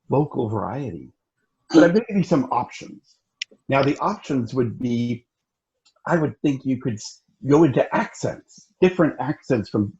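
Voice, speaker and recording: A man leading a group warm-up on a video call, coaxing others to join in. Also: mid-range voice. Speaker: meeting activity leader. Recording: dull lo-fi video call audio.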